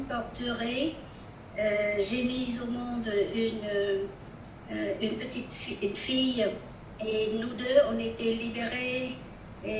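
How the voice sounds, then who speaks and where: Elderly Vietnamese woman, slow and echoey. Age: 80s. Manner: halting, searching for words. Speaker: conference speaker. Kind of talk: personal testimony at a conference. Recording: distant, echoey, noisy with rumble.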